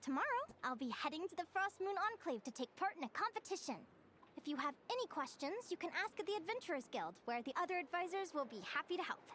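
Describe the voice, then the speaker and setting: Bubbly young guild advisor. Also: female voice, very high-pitched, fast talker, a little hiss or hum, full character voice. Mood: chatty. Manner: lively lecturing, gushing enthusiasm. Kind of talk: game voice acting. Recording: game soundtrack behind.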